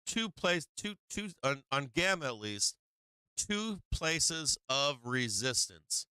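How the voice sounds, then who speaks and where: Guy hosting a stock stream, super bright clean voice, kinda hoarse, rambly chart talk. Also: a little high, dry recording. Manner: rambling, talks to viewers while walking through charts, many fillers. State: losing his voice. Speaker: stock market live stream host. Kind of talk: casual live chart commentary.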